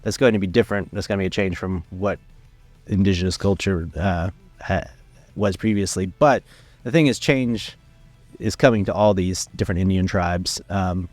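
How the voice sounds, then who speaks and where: Casting note: young adult male guest, very low voice, loose rambling studio chat, dry sound, faint music under it. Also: moderate pace, dry recording. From United States, raised in Venezuela. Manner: relaxed, rambling, many fillers and restarts. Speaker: filmmaker guest. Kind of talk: casual interview talk.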